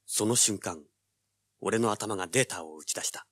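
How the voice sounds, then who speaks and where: A man's voice: lowish voice, moderate pace, a bit bright, slightly rough, no room sound, studio quality. An actor playing a cocky, cool-headed young treasure hunter who narrates his own hard-boiled adventure in an audio drama. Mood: tense, alert. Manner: hard-boiled, self-assured.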